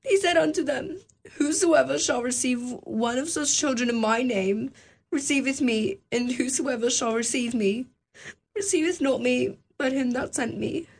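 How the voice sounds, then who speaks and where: An actress playing a young member of a grieving family in a radio drama, soft and earnest. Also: female voice, high voice, normal speed, creaky, husky, exaggerated voice.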